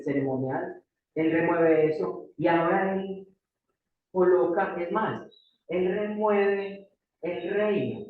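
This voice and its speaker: A man's voice: high-pitched, slight background noise. A Colombian man with a Paisa accent preaching a sermon as pastor, emphatic, repeating key phrases and putting rhetorical questions to the congregation. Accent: Colombian Paisa Spanish. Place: church hall. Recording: distant echoey church room, phone-like thin sound.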